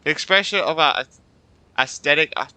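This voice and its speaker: A man's voice: a little high, brisk, sharp tone, smooth, no room sound. A man playing a video game, chatting casually and talking back to the characters. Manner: reacts aloud, talks back to characters. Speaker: gamer commenting on gameplay. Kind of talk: casual let's play chat. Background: game music.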